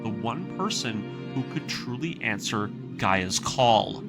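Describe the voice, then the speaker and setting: A man narrating a scripted analysis video as its host, in an earnest, emphatic way. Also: medium pitch. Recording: background music under the voice. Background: music.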